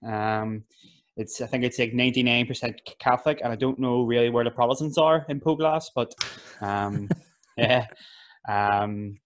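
A young man with a Belfast accent, telling about his life in a relaxed, laughing way. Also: medium pitch, normal speed. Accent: Northern Irish, Belfast. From West Belfast, Northern Ireland. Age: young adult. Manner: laid-back, laughs easily, fillers. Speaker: youth worker and rapper. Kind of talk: casual interview.

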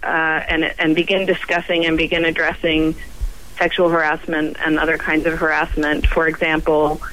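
A woman scientist guest on a radio roundtable, croaky from a cold, speaking haltingly with many pauses and restarts. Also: deep voice, little pitch movement, a bit bright, rough recording.

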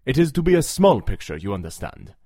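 A man playing a suave, commanding vampire in a radio drama, speaking formally with measured, hypnotic diction and a husky voice. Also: medium pitch, sing-song, warm tone, dry recording, studio quality. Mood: smooth, flattering. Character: suave, commanding male vampire, seductive and menacing.

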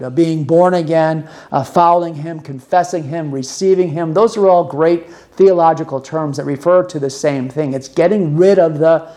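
Guy preacher w a slightly high voice, earnest chatty sermon, explains stuff and repeats words for emphasis. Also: dark tone. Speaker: preacher and podcast host.

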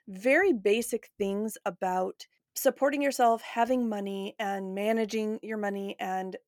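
A woman's voice: medium pitch, fairly even intonation, a little slow, a little mellow, no room sound, studio quality. A woman hosting a podcast in a casual, reflective conversational style. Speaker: podcast host.

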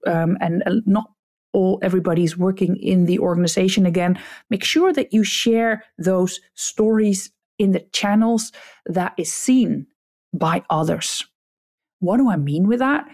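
A woman's voice: fairly low pitch, a little mellow. A woman with a Dutch accent, whose first language is Dutch, hosting a solo podcast and giving direct, blunt advice to leaders. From Netherlands. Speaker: podcast host, customer experience coach.

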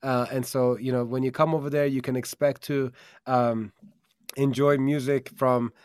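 Guy hosting a live stream, steady flat delivery, dry close sound, lots of ums. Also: mid-range voice, normal speed, clean tone, no room sound. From born in Germany, raised in America. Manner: upbeat, earnest, many fillers. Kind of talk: casual promotional live-stream talk. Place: video call.

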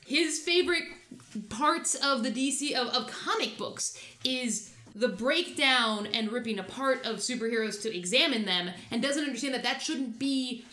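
A woman with a high, bright and forceful voice talks films and comics with friends, blunt and opinionated, cursing as she goes. The sound carries a roomy echo. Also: normal speed, slight background noise. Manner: opinionated, blunt, swears freely. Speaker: podcast co-host. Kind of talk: casual chat about films and comics.

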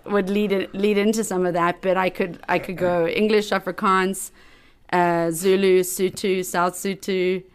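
A woman's voice: mid-range voice, level tone, normal speed, clear, resonant tone. A middle-aged woman from South Africa with a South African accent, a podcast guest telling stories in a chatty way with fillers and laughs. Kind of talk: casual chat.

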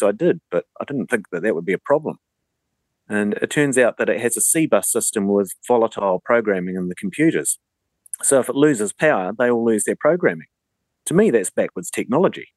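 A man's voice: lowish voice, no room sound. A man with a New Zealand accent telling anecdotes in a casual radio conversation as a guest.

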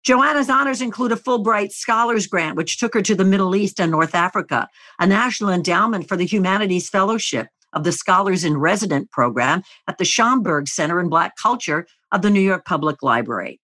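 A woman host with a very animated, lively sense of pitch. She reads a polished introduction and then moves into inviting, open questions for her guest. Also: a little fast, hardly any pauses. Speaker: interview show host. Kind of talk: scripted introduction and interview.

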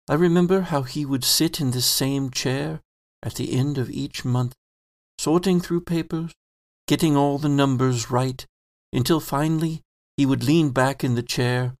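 A man voicing a kindly middle-aged landlord, telling his thoughts in a soft, reflective first-person monologue. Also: mid-range voice, no room sound, studio quality, natural voice.

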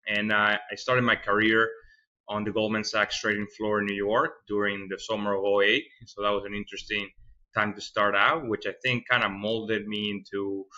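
A man from Venezuela in his thirties talks through a podcast interview at a low pitch, with a bright, forceful edge to his voice. He speaks thoughtfully, often doubling a word as he gathers his next thought. The recording has a muffled, lo-fi quality. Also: flat delivery, normal speed. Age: 30s. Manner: thoughtful, repeats words while finding them. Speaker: fund manager guest.

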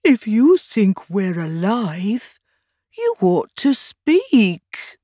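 Indignant, rotund little storybook man played by a woman, emphatic, drawn-out, swooping delivery. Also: mid-range voice, sing-song, dark tone, dry recording, clean studio sound, full character voice.

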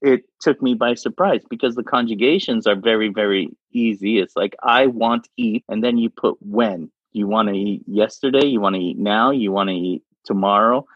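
Casual male interview guest, low and husky, rambling delivery, dry lo-fi audio. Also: moderate pace, hardly any pauses, no echo. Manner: rambling, repeats words, laughs often. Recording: dull lo-fi sound.

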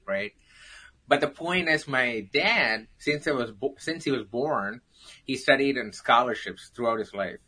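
A man's voice: medium pitch, sharp tone, slightly rough. A man with an Indian accent, a guest on a talk show, telling his life story with self-deprecating jokes. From South India.

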